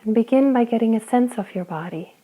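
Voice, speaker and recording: A woman guiding a body scan meditation, speaking slowly and gently with long pauses between phrases. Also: on the high side, poor audio. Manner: slow, soft, gentle guidance with long pauses. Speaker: meditation guide.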